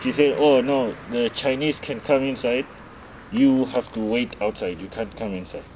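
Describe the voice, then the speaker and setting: Young Zambian man, halting outdoor account. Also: medium pitch, steady tone, a little mellow. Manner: hesitant, halting storytelling. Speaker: interviewee. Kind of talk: recounting an incident. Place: outdoor garden yard. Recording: lo-fi outdoor sound with noise.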